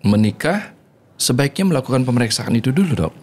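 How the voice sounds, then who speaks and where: A man's voice: mid-range voice, very animated, a little fast, darkish tone, breathy edge. A man interviewing a guest in a conversational, deferential way, often addressing the guest by title. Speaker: podcast interviewer.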